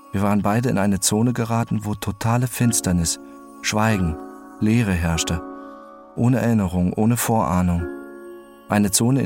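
An actor playing an introspective, obsessive man who narrates his own story in a scripted radio drama, measured and contemplative. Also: lowish voice, lightly acted. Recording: narration over very loud music bed.

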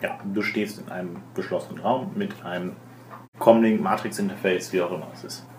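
Male game master for tabletop session, deep-leaning voice, improvised storytelling, echoey noisy group recording. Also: steady tone, a little fast. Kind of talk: improvised narration of a tabletop role-playing game. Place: room with other players at a table.

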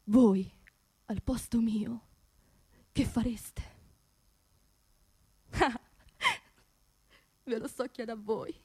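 A woman's voice: high voice, vocal fry, a bit bright, exaggerated voice. An actress playing an anxious, grieving working-class woman in a theatrical radio monologue, with a Roman accent and an emotional, confiding manner. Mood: resigned, hushed. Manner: emotional, confiding, repeats words insistently.

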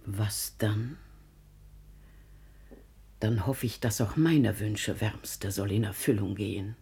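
A woman's voice: rumbling low, fairly even intonation, slow pace, gravelly, mellow, slightly rough, full character voice. An actress playing a devoted, meddling older lady's companion in classical radio drama, speaking insistently. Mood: hopeful, fretful.

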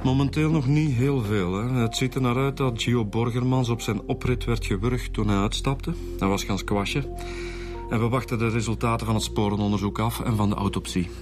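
An actor playing a brash, probing police inspector in a radio drama, with colloquial, pointed Flemish speech. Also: male voice, medium pitch, fairly even intonation, fairly quick, over music, lightly acted. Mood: informative. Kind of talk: naturalistic radio drama dialogue.

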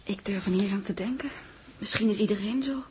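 An actress playing an anxious, deferential secretary in a 1960s radio drama, speaking in a hushed, hesitant way. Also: female voice, medium pitch, fast talker, slightly rough. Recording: noisy 1960s radio recording.

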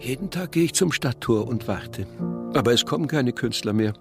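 A man acting an earnest, devoted festival impresario in a radio play, husky and gravelly, reassuring and ceremonious. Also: medium pitch, lively intonation, moderate pace, music in the background. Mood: wistful, earnest.

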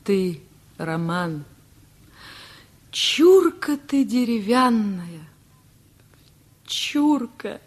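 An actress playing a bossy, teasing wife who chides in drawn-out phrases in a radio play. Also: female voice, mid-range voice, big pitch swings, husky, exaggerated voice. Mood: teasing scolding.

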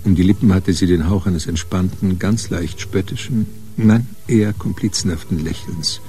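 A man's voice: sits low, moderate pace, dark tone. A man narrating a scripted crime radio play as a literary storyteller.

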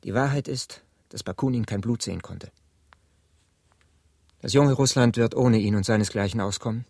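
An actor playing a cold, contemptuous young Russian revolutionary in a radio drama, with a husky voice and scornful emphasis. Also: male voice, on the low side, moderate pace, a little mellow, no echo. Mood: cold dismissal. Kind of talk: 1970s German radio drama acting.

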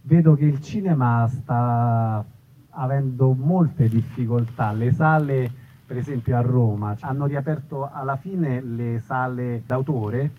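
A man's voice: mid-range voice, takes it slowly, slight background noise. Man as live interviewer, mid-range voice, discursive and thoughtful, narrow phone-like audio. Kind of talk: casual on-stage interview. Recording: thin phone-like live recording.

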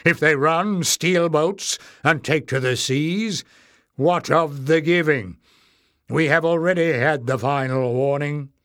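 A man voicing an angry, suspicious and stubborn male leader of an underground folk in a storybook, sneering and forceful in manner. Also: a little high, takes it slowly, smooth, no room sound, studio quality, pitched above the performer's own voice. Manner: sneering, shouting in anger.

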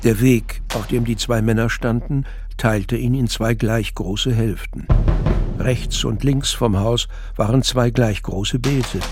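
A man's voice: mid-range voice, fairly even intonation, takes it slowly, over music. A man reading scripted fiction narration in German as the narrator of an audio drama.

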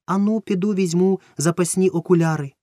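A man's voice: high-pitched, steady tone, a little fast, dark tone, no room sound, clean studio sound, pitched above the performer's own voice, natural voice. A man voicing a sly, cackling old witch woman who teases and sings her lines. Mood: puzzled. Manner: singsong, teasing.

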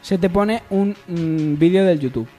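A man with an accent from Spain and a notably high voice for a male. He co-hosts a comic radio show in a casual, chatty style, with a music bed playing softly behind. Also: a little slow. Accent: Spanish, from Spain. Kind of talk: casual comedic chat. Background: background music.

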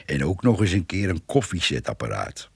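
An actor playing a grumbling, chatty older countryman in a radio play, gravelly, muttering and folksy. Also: sits low, very animated, moderate pace, no echo, exaggerated voice. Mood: musing. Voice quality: gravelly, husky. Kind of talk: Dutch radio play acting.

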